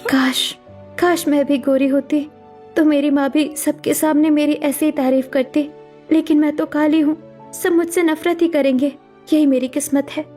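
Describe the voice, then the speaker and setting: A woman's voice: high voice, steady tone, moderate pace, warm tone, faint music behind, close to an everyday voice. A woman voicing a hurt, self-doubting young sister-in-law in a dramatic cartoon story, with a plaintive, defensive manner. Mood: sad, self-pitying.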